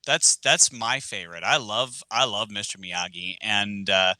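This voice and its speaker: A man co-hosting a casual podcast, chatting in a relaxed way with lots of fillers. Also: lowish voice, unhurried, no echo. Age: adult with kids. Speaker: podcast co-host, martial arts teacher.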